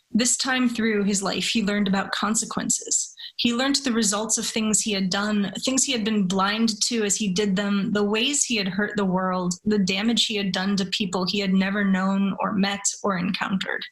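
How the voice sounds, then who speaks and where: A woman who speaks in a measured, deliberate way, with careful phrasing. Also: mid-range voice, fairly even intonation, poor audio. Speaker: story reader. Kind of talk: dramatic literary reading, then casual discussion.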